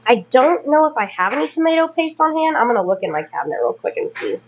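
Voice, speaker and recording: A woman's voice: high voice, big pitch swings, quick pace, breathy edge, dull audio. A woman in her thirties chatting casually and rambling as she cooks in her home kitchen, correcting herself as she goes. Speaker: home cooking vlogger. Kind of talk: casual chat while cooking.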